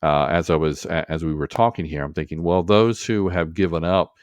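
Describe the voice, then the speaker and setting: A man with a low, smooth voice hosts a casual podcast. He thinks aloud in a rambling, halting way, and the sound is close and dry. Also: fairly even intonation, dry recording. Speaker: podcast host.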